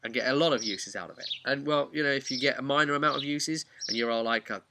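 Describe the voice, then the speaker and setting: A man giving an informal, animated, chatty explanation of tabletop roleplaying rules. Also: mid-range voice, quick pace, a little hiss or hum. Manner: animated, chatty, acts out imagined player remarks. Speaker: tabletop game master giving advice.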